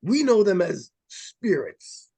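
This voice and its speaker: A man's voice: high-pitched, big pitch swings, slow, creaky, mellow, breathy edge, no echo, exaggerated voice. A man playing an earnest, pedantic doctor of psychic phenomena in a 1940s-style radio drama, solemn and precise.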